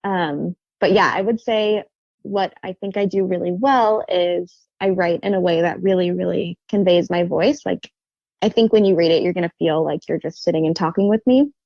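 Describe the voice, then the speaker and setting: Soft-spoken woman with a gravelly edge. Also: on the low side, moderate pace, no room sound, muffled recording. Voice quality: soft, quiet voice. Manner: hesitant, many fillers and restarts. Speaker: author and guest. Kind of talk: casual interview chat.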